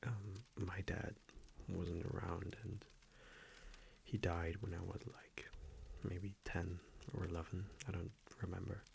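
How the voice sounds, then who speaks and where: Man playing a hushed, deep-voiced vampire boyfriend. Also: level tone, slow, creaky, a little hiss or hum, exaggerated voice. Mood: quietly confiding. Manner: hushed, hesitant, often slipping into whisper. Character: gentle vampire boyfriend, soft-spoken, confiding and protective. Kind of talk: intimate ASMR audio roleplay.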